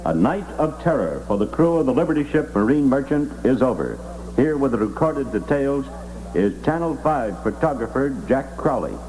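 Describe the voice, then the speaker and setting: A man's voice: medium pitch, very animated, normal speed, a little mellow, slight background noise, low-quality recording. A man working as a radio newscaster, reading scripted news on a 1960s AM broadcast.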